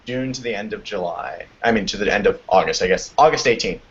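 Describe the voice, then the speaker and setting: A teenage young man with a deep baritone voice, talking casually and haltingly as a guest on a group call. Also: slightly rough. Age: teens. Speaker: guest on a writing advice stream. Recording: muffled lo-fi internet call audio.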